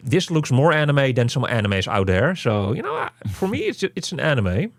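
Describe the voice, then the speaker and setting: A man co-hosting a casual game review, talking in an animated, rambling way with lots of "you know". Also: mid-range voice, moderate pace, dry recording, studio quality.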